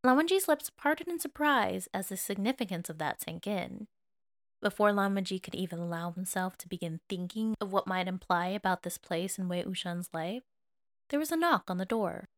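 A woman's voice: medium pitch, lively intonation, moderate pace, no echo, clean studio sound. A woman reading scripted fiction aloud as an audiobook narrator.

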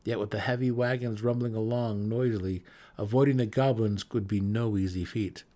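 A man's voice: on the low side, fairly even intonation, moderate pace, dark tone. A man reading a scripted fantasy story as a dramatic audiobook narrator.

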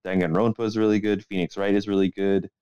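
A man's voice: sits low, level tone, fast, warm tone, smooth, no room sound, highs cut off. A man co-hosting a casual podcast in a laid-back, hesitant way, often hedging what he says. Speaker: podcast co-host.

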